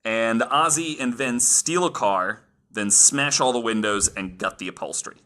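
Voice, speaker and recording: A man's voice: medium pitch, normal speed, bright, forceful tone. A man hosting a casual podcast, telling stories from his notes in an informal, amused, sweary way. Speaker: podcast host.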